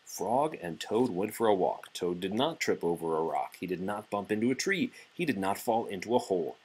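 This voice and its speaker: A man reading a children's storybook aloud as a father would, in an animated, playful and deliberate way. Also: lowish voice, husky.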